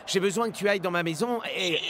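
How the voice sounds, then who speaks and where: A man's voice: high voice, quick pace, background noise, natural voice. An actor voicing an eager young male footballer in a cartoon dub, friendly and easily rattled. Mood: urgent. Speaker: football player.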